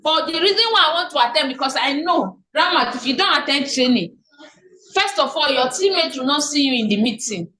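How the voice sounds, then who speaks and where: High-voiced Nigerian woman coaching her team. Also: expressive, fairly quick, pushed and bright, a little hiss or hum. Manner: repetitive, asks and answers own questions. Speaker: team leader. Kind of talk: motivational business training. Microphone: online meeting call. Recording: echoey room on a call.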